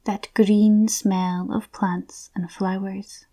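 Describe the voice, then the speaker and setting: A woman softly narrating a scripted guided visualisation in a soothing, breathy, near-whispered voice. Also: medium pitch, flat delivery, drawn-out words.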